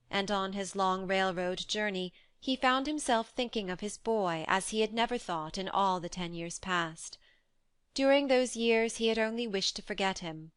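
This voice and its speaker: A woman reading a classic novel aloud, her voice smooth and resonant. She tells the story in a measured, expressive way. The sound is close and dry, on a studio mic. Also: mid-range voice, no echo. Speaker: narrator. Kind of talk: audiobook dramatic reading.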